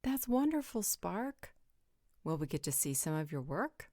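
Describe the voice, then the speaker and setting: A composed, gently teasing vampire woman acted by a woman in a roleplay drama. Her voice sits low, with a soft dark tone. It is recorded very dry. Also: sing-song, measured pace, no echo, full character voice. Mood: warm, encouraging. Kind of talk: roleplay audio drama acting.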